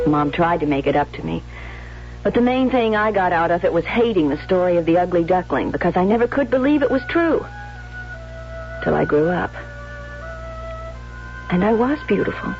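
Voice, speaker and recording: A woman's voice: on the low side, normal speed, pauses often, close to an everyday voice. Intense, jealous young American woman played by an actress, muffled radio. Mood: brooding, confiding. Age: young adult. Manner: intense, emphatic, theatrical. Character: jealous, resentful young bride-to-be, emotional younger sister. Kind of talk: 1970s radio drama acting, dialogue and confessional narration. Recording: muffled old radio broadcast, faint music.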